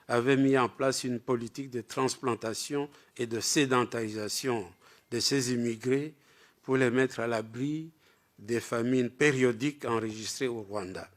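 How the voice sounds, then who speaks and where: A male lecturer with a medium-pitched voice presents a prepared academic text. His delivery is formal and structured. He puts firm emphasis on the words that open his sentences.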